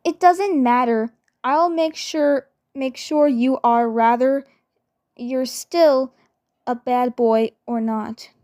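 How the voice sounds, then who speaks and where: Woman doing a smitten playful girl for a fan dub, high voice, slow n halting. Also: a little mellow, no room sound, studio quality. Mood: bold, adoring. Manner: halting, repeating words. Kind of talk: amateur fan dub roleplay.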